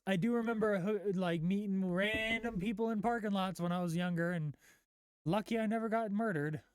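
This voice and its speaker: A woman's voice: medium pitch, moderate pace, darkish tone, clear, resonant tone, dry recording. Blunt American woman, dry close recording. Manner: blunt, sweary, slangy. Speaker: podcast co-host. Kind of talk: casual chat.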